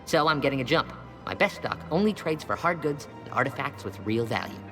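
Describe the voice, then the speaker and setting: A man's voice: medium pitch, animated, brisk, lightly acted. Man acting a shifty arms dealer in an echoing hall. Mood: cagey, bargaining. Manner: slangy, evasive, salesman-like. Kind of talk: naturalistic game acting. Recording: long hall reverb, loud music behind.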